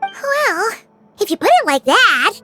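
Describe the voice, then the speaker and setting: Over loud music, a tiny, childlike fairy sidekick from an anime game. The voice is extremely high and squeaky, and it bounces up and down with eager, earnest cheer. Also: female voice, unhurried, exaggerated voice. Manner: eager, chirpy, expressive. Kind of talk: anime-style video game voice acting.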